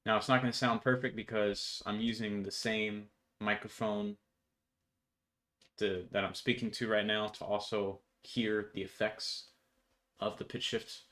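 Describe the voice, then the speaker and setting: A man's voice: on the low side, flat delivery, measured pace, fairly bright tone. A young man giving an informal code walkthrough in an offhand, slangy way. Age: young adult. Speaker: programmer presenting his project. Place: indoor room at home.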